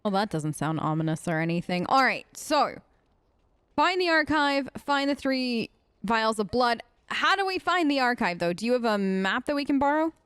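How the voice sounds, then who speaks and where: A woman's voice: fairly high pitch, very animated, normal speed, fairly bright tone, smooth, no room sound. A woman role-playing in a video game let's play, casual and wry with a hesitant, improvised delivery.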